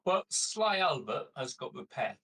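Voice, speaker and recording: A man's voice: mid-range voice, big pitch swings, unhurried, fairly bright tone, husky. A man chatting casually as a player in a tabletop game. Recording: slightly distant from the mic.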